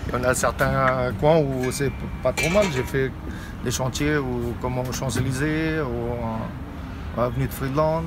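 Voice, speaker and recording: Halting French roofer talking outdoors. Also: male voice, medium pitch, fairly even intonation, heavy background noise. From France. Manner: plain-spoken, halting with many fillers, laughs easily. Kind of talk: casual interview. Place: Paris rooftops outdoors.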